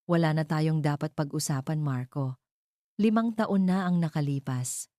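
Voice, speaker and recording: A woman's voice: low-pitched, normal speed, mellow, dry recording, studio quality, close to an everyday voice. A woman voicing a hurt, unforgiving jilted bride in an audio drama, cold, curt and bitter. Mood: icy.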